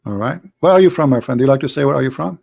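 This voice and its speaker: A man debating religion, arguing forcefully and repeating himself as he presses his point. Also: mid-range voice, lively intonation, very fast, creaky, husky. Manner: forceful, argumentative, repeats words. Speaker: debate host. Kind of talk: heated debate.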